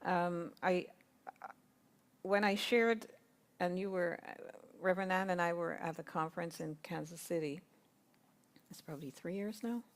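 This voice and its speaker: Woman, low-set voice, measured and thoughtful, sharing in a spiritual discussion group. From Guyana. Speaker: congregation member sharing. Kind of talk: reflective sharing in a discussion circle. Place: spiritual centre gathering.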